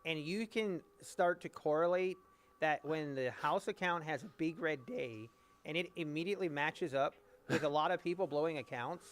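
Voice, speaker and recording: A man in casual podcast chat, talking things through in a measured, hedging way. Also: on the high side, a bit bright.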